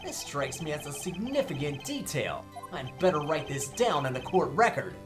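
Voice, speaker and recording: A woman's voice: low voice, very animated, normal speed, soft background music. A woman voicing a cocky, quirky young male defense lawyer in an amateur game dub, with wry asides and pointed questions. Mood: probing, puzzled.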